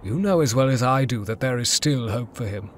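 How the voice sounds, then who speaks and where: A man playing a patient, earnest Jedi master, calm and persuasive, in a sci-fi audio drama. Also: medium pitch, brisk, a little hiss or hum, close to an everyday voice. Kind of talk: fan audio drama acting.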